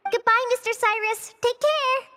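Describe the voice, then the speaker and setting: A woman voicing a modest, friendly young female forest ranger with soft nervous laughs in a game dub. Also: extremely high pitch, moderate pace, noisy background. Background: game music.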